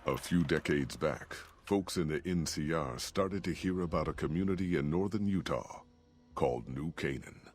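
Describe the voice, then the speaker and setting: An older man with an American Western drawl, acting a gruff, plainspoken old caravan leader in a video game. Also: rumbling low. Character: gruff folksy old caravan leader, weathered male storyteller. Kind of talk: video game character dialogue and narration.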